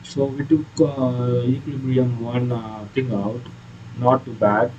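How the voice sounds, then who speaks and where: A man giving casual, explanatory commentary on a video game playthrough in a hesitant, halting way. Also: mid-range voice, little pitch movement, a little mellow, dull audio, slight background noise. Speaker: gaming video creator.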